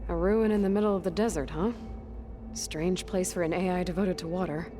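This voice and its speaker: A woman playing an earnest, burdened young hunter in a video game, with a low-key, determined delivery. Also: steady tone, brisk, over music, natural voice. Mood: focused. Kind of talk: naturalistic video game cutscene acting.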